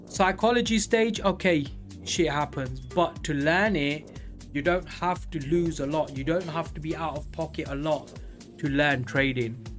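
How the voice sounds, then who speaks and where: A man of forty talking casually and candidly on a live trading stream, rambling with many pauses. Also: a little high, fairly bright tone, faint music behind. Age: 40s. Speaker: livestream day trader.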